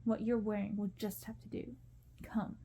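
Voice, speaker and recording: A woman's voice: mid-range voice, little pitch movement, moderate pace, warm tone, a little hiss or hum, natural voice. A woman reading a storybook in ASMR style, voicing a cold, bullying young prince who is curt and condescending. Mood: scornful, impatient.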